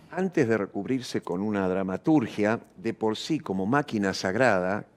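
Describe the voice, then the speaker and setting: A man's voice: on the low side, animated, measured pace. An Argentine man being interviewed, talking in an abstract, erudite and reflective way, searching for words and often checking in with short tag questions. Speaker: interviewee, theatre practitioner. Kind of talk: reflective intellectual interview talk.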